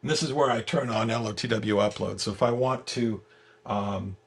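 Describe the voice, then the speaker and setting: A man with a gravelly, husky voice giving a casual software walkthrough as the guest, halting and repeating words as he explains. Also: fairly low pitch. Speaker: software creator giving a product demo as guest. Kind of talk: casual screen-share walkthrough.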